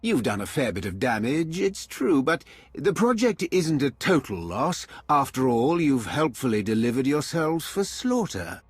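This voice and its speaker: An actor playing a smug, sardonic male traitor in a video game, husky, arch and condescending as he taunts. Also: mid-range voice, sing-song, unhurried, no echo. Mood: smug, mocking. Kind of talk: dramatic video game dialogue.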